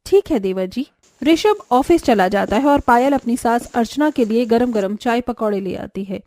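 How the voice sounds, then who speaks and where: A woman's voice: on the high side, normal speed, a little mellow, no room sound. A woman narrating a scripted family cartoon story.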